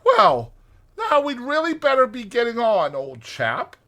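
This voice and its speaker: Man doing a kindly, high-pitched storybook rat gentleman. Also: huge pitch swings, unhurried, full character voice. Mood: brisk, pleasant. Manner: solicitous, old-fashioned chummy address. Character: kindly, chummy, gentlemanly male water rat. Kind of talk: dramatized storybook reading.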